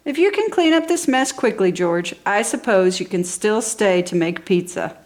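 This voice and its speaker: Woman playing storybook mom, kind but firm, lively swooping pitch, emphatic. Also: moderate pace, lightly acted. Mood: relenting. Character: little girl's mother, kindly but firm party host.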